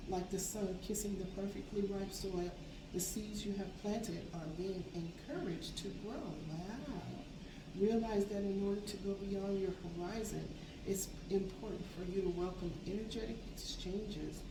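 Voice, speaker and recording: Woman, raspy voice, spiritual card reading, affirming, noisy background. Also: mid-range voice, normal speed, distant mic. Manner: gentle, affirming, reads cards aloud. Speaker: tarot reader. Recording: echoey room, voice from off mic, background noise.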